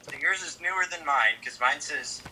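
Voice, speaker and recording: A man with a fairly high, very sharp voice gives casual step-by-step help over a video call. He is patient and encouraging, and the call audio carries faint noise. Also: normal speed, poor audio. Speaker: helper guiding a setup on a video call. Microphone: video call heard through a phone speaker.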